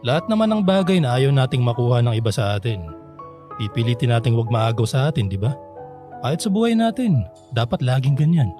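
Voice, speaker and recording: A man's voice: medium pitch, very animated, fairly quick, close to an everyday voice. An actor playing a competitive, teasing but devoted middle-aged father in a radio drama, exhorting his son. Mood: fatherly, earnest. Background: background music.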